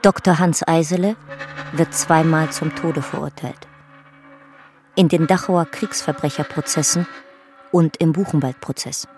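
A woman's voice: low-pitched, steady tone, unhurried, pauses often, over music. A woman giving sober, factual documentary-style narration in a radio drama.

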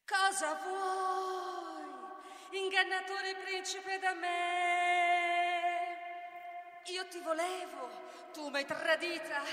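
A woman's voice: very high-pitched, steady tone, very slow, pauses often, pushed and bright, full character voice. A woman playing a betrayed, vengeful wife from Greek tragedy in an accusing, incantatory, half-sung theatrical lament. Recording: echoing hall, music mixed in.

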